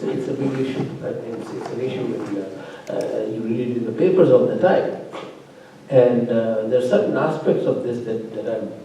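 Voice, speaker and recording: A man's voice: medium pitch, warm tone, slight background noise. Distant man in a roomy hall. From immigrant living in Norway. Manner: discursive, with many fillers and restarts. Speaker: faculty opponent at a thesis defense. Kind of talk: spoken academic commentary.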